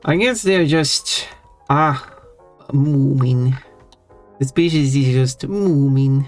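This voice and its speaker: Streamer, relaxed unhurried delivery, self-correcting chatter, faint music bed. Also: medium pitch. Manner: rambling, self-correcting, giggly. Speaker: live streamer. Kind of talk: casual live-stream chat while drawing. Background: faint background music.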